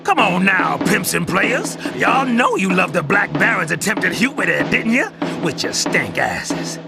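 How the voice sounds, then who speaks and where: A man's voice: sits high, big pitch swings, a little slow, creaky, exaggerated voice. An actor playing a flamboyant, trash-talking pimp showman, announcing brashly and boastfully in a video game. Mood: brash, taunting. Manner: brash, boastful, foul-mouthed. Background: loud music.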